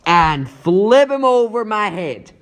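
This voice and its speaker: Guy doing young teen superhero, highish animated voice, wisecracky but anxious, dramatic thinking out loud, comic dub. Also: slow pace, heavily acted. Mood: determined. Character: wisecracking teenage male superhero, earnest and anxious. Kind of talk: comic book dub voice acting.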